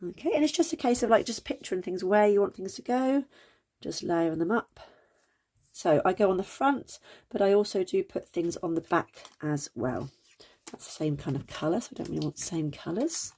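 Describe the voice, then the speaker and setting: A woman with a slightly croaky voice, chatting casually through a craft tutorial with lots of ums and self-corrections. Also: lowish voice, animated, normal speed, a little mellow. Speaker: crafting channel host.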